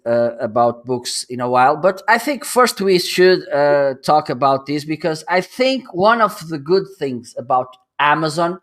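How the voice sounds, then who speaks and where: A man's voice: on the high side, fairly bright tone. A man hosting a live stream, chatting and teasing his guest, laughing often and reading out viewer comments. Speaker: host.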